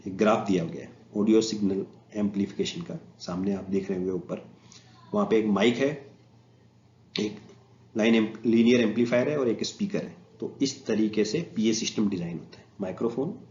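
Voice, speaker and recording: Low-voiced Indian man lecturing, echoey recording. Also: moderate pace, darkish tone. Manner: mixes Hindi with English technical terms. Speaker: instructor. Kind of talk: slide presentation lecture, explaining informally. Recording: echoey room, muffled lo-fi, faint noise.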